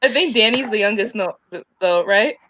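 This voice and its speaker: 20 yr old woman, mid pitch, casual chatting on a stream, dry but kinda dull headset sound. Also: normal speed, a bit bright, no echo. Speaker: co-host of a book live stream. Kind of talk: casual group chat. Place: indoor room at home, on a video call. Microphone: headset on a video call. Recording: dull, lo-fi video call audio.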